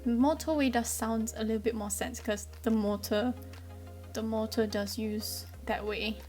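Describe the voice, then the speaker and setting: Young Malaysian woman vlogger, brightish voice, chatty, lots of ums, soft music under her. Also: on the high side, fairly even intonation, measured pace. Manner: chatty, friendly, frequent ums. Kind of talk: casual explainer vlog.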